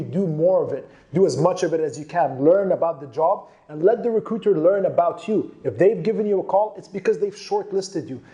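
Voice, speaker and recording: A man's voice: fairly high pitch, mellow. A man presenting career advice in an emphatic, instructive manner, stressing and repeating key points. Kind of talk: presenting instructional tips to camera.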